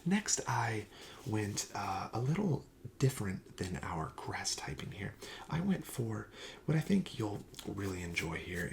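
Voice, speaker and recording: A man speaking softly and breathily, often near a whisper, gently explaining wares as a shop clerk in an ASMR roleplay. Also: fairly low pitch, vocal fry, slight background noise. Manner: gentle, explanatory sales patter. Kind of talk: soft-spoken ASMR roleplay.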